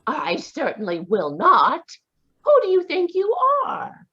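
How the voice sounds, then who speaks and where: A woman's voice: high voice, wildly swooping pitch, fairly bright tone, slightly rough, heavily acted. A woman playing a feisty independent spinster. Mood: indignant. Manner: indignant, spirited, quick retorts. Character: brisk, independent spinster woman, sharp-tongued. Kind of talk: live radio drama reading. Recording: dull lo-fi video call audio.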